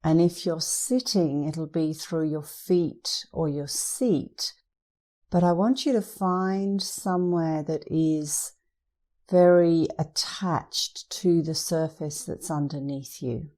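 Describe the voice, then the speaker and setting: A woman meditation instructor leading a guided meditation in a soothing, gentle, unhurried manner. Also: deep voice.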